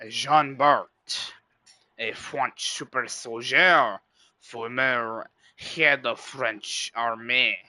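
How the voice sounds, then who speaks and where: A man's voice: mid-range voice, pushed and bright, no room sound. A man streaming and chatting casually with his viewers, rambling and often correcting himself mid-sentence. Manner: rambling, self-correcting, talks straight to chat. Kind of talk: casual livestream chat.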